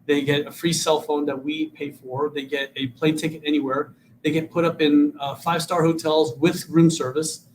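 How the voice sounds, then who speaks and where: Man in his fifties, flat, high-set voice. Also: normal speed, a little hiss or hum, rough recording. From Vietnam. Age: 50s. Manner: repeats words when starting sentences. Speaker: guest, political candidate. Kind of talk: earnest interview talk.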